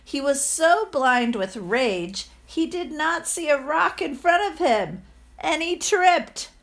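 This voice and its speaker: Slow, higher-voiced woman reading a storybook. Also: lively intonation, a bit bright. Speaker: teacher reading aloud to children. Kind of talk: expressive storybook narration.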